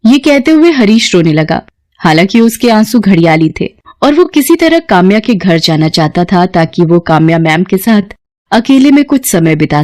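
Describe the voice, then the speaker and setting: A woman's voice: lowish voice, a little mellow, dry recording. A woman narrating a scripted story as a storyteller.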